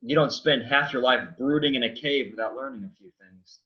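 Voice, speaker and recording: A man's voice: medium pitch, steady tone, fairly quick, dull audio, natural voice. An actor playing a weary, brooding, sardonic man in a staged play reading. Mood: wary, dry.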